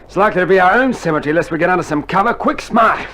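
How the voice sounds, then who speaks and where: A man's voice: high-pitched, very fast. A man acting a wry, doubting Australian infantry soldier in an old radio war drama, speaking in slang. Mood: wry, grim. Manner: excitable, slangy, sceptical. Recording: very noisy old vinyl, battle din.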